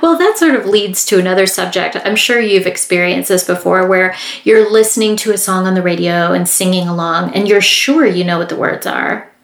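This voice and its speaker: A woman interviewing a guest in a relaxed conversation, asking friendly follow-up questions. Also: fairly low pitch, brisk, creaky, few pauses, roomy sound. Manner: encouraging, asks follow-up questions.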